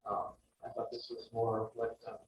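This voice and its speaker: A man's voice: medium pitch, monotone, moderate pace, a little hiss or hum. A man hosting a club meeting, moderating questions in a rambling, filler-heavy way. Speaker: meeting host. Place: meeting room. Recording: very echoey room, distant dull mic.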